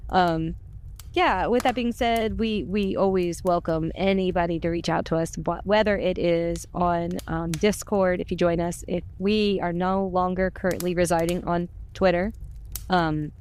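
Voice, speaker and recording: A woman's voice: lowish voice, fairly even intonation, measured pace, a little mellow, a little hiss or hum. A woman chatting casually and informally about a podcast project, in a gushing, talkative way. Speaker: podcast cast member and volunteer. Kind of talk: casual chat.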